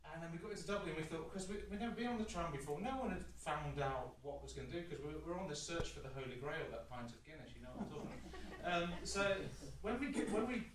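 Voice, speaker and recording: A man's voice: on the high side, lively intonation, quick pace, hardly any pauses, a little hiss or hum. A middle-aged Scottish-born man telling a chatty, rambling story to an audience as a spiritual medium, with restarts and laughs. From Scotland. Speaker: spiritual medium giving a talk. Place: large hall with a painted mural, indoors. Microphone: distant audience camera phone. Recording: echoey hall from far off.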